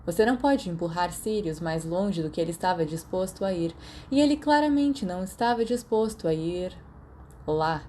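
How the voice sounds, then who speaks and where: A woman's voice: fairly low pitch, expressive, moderate pace, dark tone, slight background noise. A woman with a Brazilian accent reads a story aloud as scripted audiobook narration. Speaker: podfic narrator.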